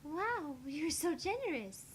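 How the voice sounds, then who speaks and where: A man voicing a sweet, naive, childlike anime girl who speaks softly, in an amateur visual novel performance. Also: very high voice, big pitch swings, slow, slight background noise, pitched above the performer's own voice, exaggerated voice. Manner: speaks of self in third person, soft.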